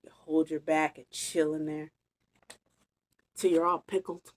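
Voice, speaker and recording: A woman reading a picture book aloud as a storytime host, expressive and chatty with casual asides. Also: low voice, a little slow. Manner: animated, chatty, savouring phrases. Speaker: storytime reader.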